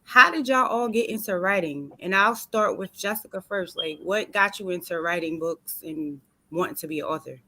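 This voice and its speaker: A woman's voice: lowish voice, moderate pace, hardly any pauses, bright, forceful tone, poor audio. A woman hosting an online book tour interview, upbeat and chatty. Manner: upbeat, chatty, uses y'all. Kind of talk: casual interview.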